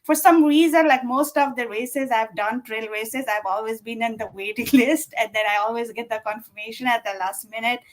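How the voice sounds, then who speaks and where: A woman's voice: fairly high pitch, normal speed, hardly any pauses, slight background noise. A woman, a guest chatting casually and rambling with many fillers. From Nepal. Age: 40s. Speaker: guest runner. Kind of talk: casual interview chat.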